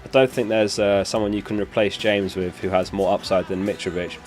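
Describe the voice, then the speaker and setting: Low-voiced man chatting on a stream. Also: fairly quick, soft background music. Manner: relaxed, answers chat questions. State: recovering from a lost voice. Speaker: streamer host. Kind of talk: casual live stream chat.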